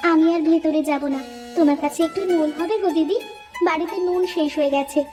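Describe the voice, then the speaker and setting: A woman voicing a bossy, scolding village housewife in an animated fairy tale, nagging and sharp-tongued. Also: sits high, fast, recorded from a distance, faint music behind, natural voice. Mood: complaining.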